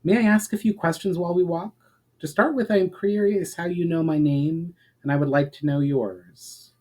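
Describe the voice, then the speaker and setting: Storybook elf traveler, male man acting: polite, inquisitive, earnest, formal, pitched up from the reader's natural voice. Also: steady tone, moderate pace, darkish tone, natural voice. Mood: curious, polite. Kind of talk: dramatic storybook reading.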